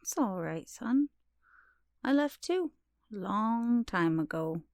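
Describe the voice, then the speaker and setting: A grave, mysterious mother, acted by a woman in a fantasy audio drama. She speaks slowly and portentously, in a breathy near whisper at middling pitch, with a dry, close sound. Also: expressive, darkish tone, no room sound. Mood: gentle, resigned. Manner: slow, portentous. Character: mysterious mother, grave and urgent mystic messenger.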